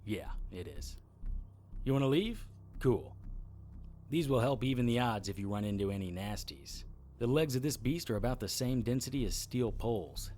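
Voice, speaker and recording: A man's voice: mid-range voice, expressive, moderate pace, a little mellow, slight background noise, close to an everyday voice. Commanding adventurer hero, played by a man, matter-of-fact. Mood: brisk, instructing. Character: brisk, commanding male occult adventurer with a sword. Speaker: lead actor and narrator. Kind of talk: audio drama acting and first-person narration.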